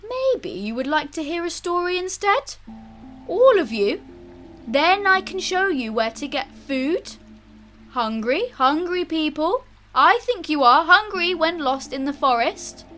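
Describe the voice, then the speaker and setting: A woman voicing an eccentric, excitable old madman in an audiobook story, eager and erratic, repeating words in short bursts. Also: very high-pitched, a little slow, soft background music, voice pushed up, exaggerated voice. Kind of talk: audiobook character voice.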